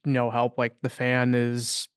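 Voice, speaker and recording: Young guy cohosting a sports pod, smooth voice, rambly, dry sound. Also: mid-range voice, monotone, unhurried, dry recording. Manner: rambling, lots of fillers. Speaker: podcast co-host. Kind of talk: casual sports chat.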